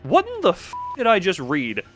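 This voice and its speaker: A man livestreaming a story game, reading aloud and commenting in an excitable, sweary, giggly way. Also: high voice, moderate pace. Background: music.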